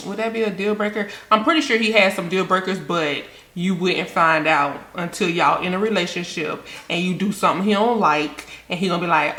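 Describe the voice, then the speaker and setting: A woman with a lowish, bright voice chatting casually as she reacts to a show. She talks straight to her viewers, and her voice rings in an echoey room. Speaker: reaction video host.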